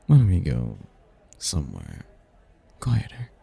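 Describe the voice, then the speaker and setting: Man acting deep gravelly seductive demon. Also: dark tone, full character voice. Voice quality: husky, gravelly. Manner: slow, flirtatious, breathy murmurs with low chuckles. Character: smooth-talking seductive male demon, suave, teasing, devilishly charming. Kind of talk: intimate ASMR roleplay acting.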